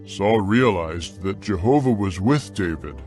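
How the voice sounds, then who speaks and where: Slow, expressive male scripture narrator. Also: low-pitched, faint music behind. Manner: measured, deliberate storytelling.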